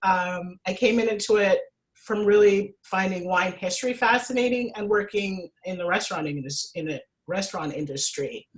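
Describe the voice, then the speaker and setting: A woman's voice: medium pitch, normal speed, poor audio. A woman originally from Jamaica, a sommelier hosting a casual interview, asking friendly, winding questions full of asides. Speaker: sommelier host.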